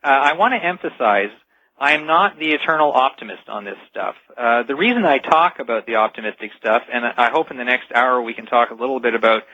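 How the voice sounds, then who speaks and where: A man explaining things as a radio interview guest over the telephone, earnest and deliberate, stressing key words. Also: medium pitch, animated, no room sound. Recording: dull, band-limited telephone line.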